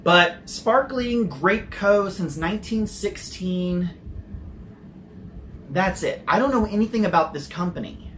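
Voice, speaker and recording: A man's voice: sits high, expressive, measured pace, a bit bright, slight background noise. A man casually reviewing sodas on his channel, chatty and prone to rambling tangents, with the odd swear word and no regional accent. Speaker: soda reviewer.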